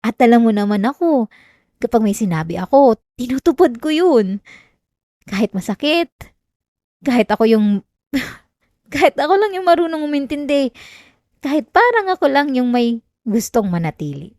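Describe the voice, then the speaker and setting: A woman's voice: a little high, sing-song, moderate pace, long pauses, darkish tone, no room sound. Girl acting as obsessive filipino yandere girlfriend, clingy n sweet but scary, asmr roleplay, super close dry mic. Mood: forgiving, clingy. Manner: clingy, coaxing, breaking into giggles, veiled threats. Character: possessive obsessive girlfriend, sweetly menacing, unhinged yandere lover. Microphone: close dry microphone.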